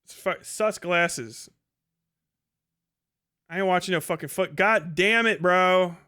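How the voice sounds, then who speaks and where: A man's voice: high-pitched, slow, sharp tone, clean tone. A man hosting a casual livestream commentary, swearing heavily in an exasperated, incredulous way. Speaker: livestream host.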